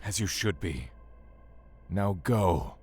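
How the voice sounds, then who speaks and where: An actor playing a proud, defiant male god in an audio drama, speaking in a haughty, deliberate manner. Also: low voice, expressive, a little mellow, husky, heavily acted. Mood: contemptuous. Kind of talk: dramatic audio fiction acting and narration.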